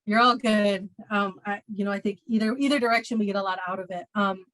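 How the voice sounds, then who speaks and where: A woman's voice: mid-range voice, fairly even intonation, quick pace, a bit bright, rough recording. An American woman co-hosting a virtual book event as a bookseller, reflective and hedging her questions with many fillers. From United States. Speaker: bookseller co-host.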